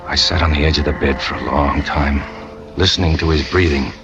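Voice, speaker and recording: A deep-voiced, brooding, jealous 1940s man. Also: steady tone, brisk. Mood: brooding, tense. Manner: brooding, intense, confessional. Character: tormented jealous man, brooding circus catcher narrating his crime. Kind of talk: 1940s radio crime drama acting, first-person narration. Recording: muffled old radio recording with music.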